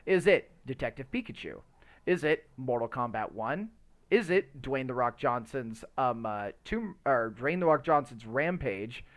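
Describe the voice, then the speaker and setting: A male podcast host with a very lively, swinging intonation and a mid-range voice. He chats casually and gives his opinions with emphasis, often stumbling and circling as he hunts for the right words. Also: medium pitch. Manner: rambling, halting search for words. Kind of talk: casual chat about movies.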